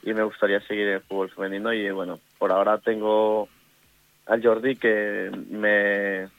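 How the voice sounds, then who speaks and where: A middle-aged man from Ecuador with an Ecuadorian Spanish accent, a women's football coach speaking in a casual interview, halting and full of restarts. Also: on the low side, little pitch movement, dry recording. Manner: halting, many restarts and fillers. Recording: tinny phone line.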